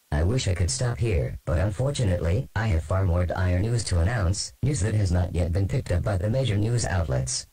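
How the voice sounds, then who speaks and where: Man as a deep, solemn presidential representative. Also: dark tone, slightly rough, full character voice, low-quality recording. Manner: grave, formal, measured. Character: solemn male presidential representative announcing a tragedy. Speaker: government spokesman. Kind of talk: scripted formal address to the nation.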